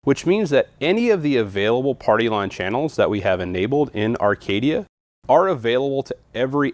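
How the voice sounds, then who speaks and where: A man's voice: mid-range voice, no echo. Man narrating a product walkthrough, dry studio sound. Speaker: product trainer.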